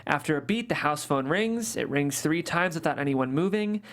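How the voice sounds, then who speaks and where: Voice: medium pitch, lively intonation, normal speed. A narrator reading stage directions aloud at a script table read.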